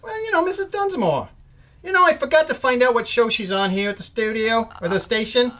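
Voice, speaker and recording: A man's voice: very high voice, expressive, fairly quick, sharp tone, exaggerated voice. A man playing a naive, eager young studio worker, boyish and earnest with a stammer, in old-time radio drama style. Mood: chatty.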